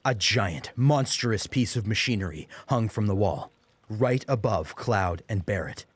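Low-voiced, animated male audiobook narrator. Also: measured pace, breathy edge, dry recording. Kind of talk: scripted dramatic story narration. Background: music.

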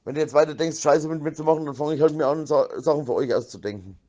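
A man's voice: on the high side, fast talker, mellow. A man rambling in a voice message, slangy and sweary, repeating himself and slipping into rhymes.

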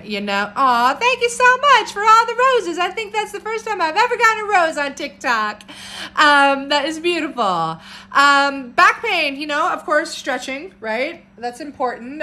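A woman in her thirties with a loud voice, an herbalist chatting casually on a phone live stream at home and answering viewer comments in an animated way. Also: sits high. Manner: animated, jumps between topics and viewer comments, upbeat. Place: home room with bookshelves, indoors. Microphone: phone camera at arm's length.

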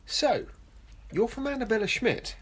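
Woman doing suave creepy-polite professor, really raspy, swoopy delivery, radio play. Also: on the high side, sing-song, unhurried, heavily acted. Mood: suave, measured.

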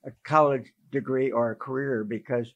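A man in his eighties, a guest, explaining history calmly in a group conversation. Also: mid-range voice, no echo, narrow, tinny audio. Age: 80s. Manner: measured, explanatory. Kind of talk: recounting history in a group chat.

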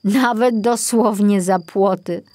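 A mild forest ranger in a children's tale, read by a woman. He is composed and measured, slow in pace, recorded close and dry. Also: mid-range voice, warm tone, no echo, voice pushed up. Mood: rueful, tired.

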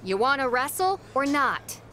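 A woman's voice: high voice, very animated, normal speed, noisy background. An actress playing a proud young female wrestler in a video game cutscene, speaking in a blunt, impatient way. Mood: prickly.

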